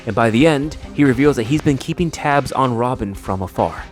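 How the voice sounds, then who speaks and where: A man's voice: medium pitch, animated, fairly quick, faint music behind. A man delivering scripted narration as the presenter of a video essay.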